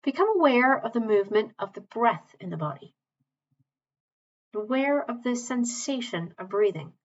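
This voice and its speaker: A woman teaching mindfulness, leading a guided body scan in a calm, measured, gentle way with long pauses. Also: a little high, lo-fi sound.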